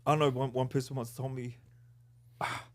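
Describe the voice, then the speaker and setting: Laid-back host, encouraging, slangy, mid-pitched voice. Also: level tone, normal speed. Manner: easygoing, encouraging, slangy interviewer. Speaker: podcast host. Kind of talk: casual podcast chat.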